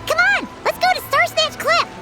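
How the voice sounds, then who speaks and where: A woman voicing a tiny, chatty, cheeky childlike fairy companion in an anime game, with a shrill squeaky voice. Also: normal speed, over loud music. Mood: chatty, curious. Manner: speaks of herself in third person, exclamatory.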